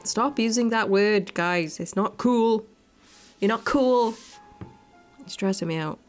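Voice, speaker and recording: A woman's voice: medium pitch, takes it slowly. A woman hosting a visual novel playthrough, narrating the text and adding casual commentary. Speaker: gaming video host. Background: game music.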